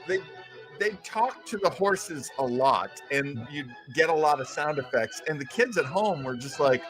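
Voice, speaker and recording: A man's voice: a little high, lively intonation, unhurried, over music, low-quality recording. A man hosting a casual chat about old-time radio, an enthusiastic and chatty explainer. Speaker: host.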